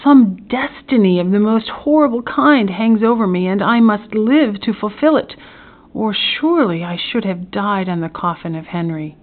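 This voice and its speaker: A woman's voice: mid-range voice, normal speed, narrow, tinny audio, close to an everyday voice. A woman voicing a tormented, melodramatic young man in an impassioned, formal storybook reading. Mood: tragic grief. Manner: impassioned, exclamatory, formal old-fashioned phrasing.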